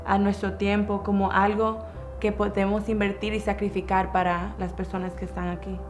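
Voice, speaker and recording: A woman's voice: mid-range voice, flat delivery, moderate pace, over music. A young woman giving a personal testimony as a church missionary volunteer. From United States, Dominican-born.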